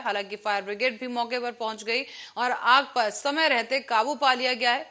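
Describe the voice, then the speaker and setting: A woman's voice: fairly high pitch, bright, forceful tone. A woman presenting the news as an anchor. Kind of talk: news presenting, scripted narration and live questions to a reporter.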